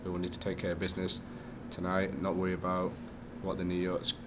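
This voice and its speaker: A young man answers casually into a desk mic at a post-game press conference. His voice sits very low and stays flat, and a clear hiss runs behind it. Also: a little fast. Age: young adult. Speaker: player. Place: small press room. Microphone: desk mic on stand.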